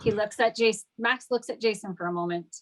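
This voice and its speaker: A woman's voice: medium pitch, a little fast. A woman narrating scripted stage directions at a table read.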